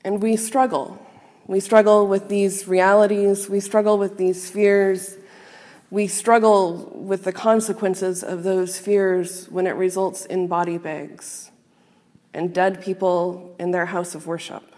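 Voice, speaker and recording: A woman minister giving a sermon to a congregation, speaking in a measured, earnest and reflective way with pauses. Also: medium pitch, level tone, a little mellow. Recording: long reverb of a large hall.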